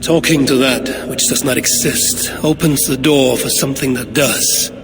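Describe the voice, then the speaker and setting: An actor voicing a steady Viking warrior leader who speaks in calm, terse, commanding lines. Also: male voice, mid-range voice, moderate pace, lightly acted. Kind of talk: video game cutscene. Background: music.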